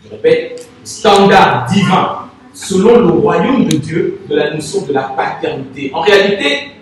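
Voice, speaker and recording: A man preaching a sermon as pastor, from Congo, with Lingala as his first language, drawing the congregation into call-and-response and swinging between loud and soft. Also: high voice. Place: large church hall. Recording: echoey church hall from far off, faint noise.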